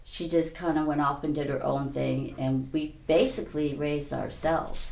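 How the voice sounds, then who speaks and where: A woman from Hawaii and California, telling her own story casually and haltingly as an interview guest. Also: low-pitched, steady tone, gravelly, darkish tone. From Hawaii and San Mateo County, California. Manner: conversational, halting, repeats "you know". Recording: roomy, echoey space.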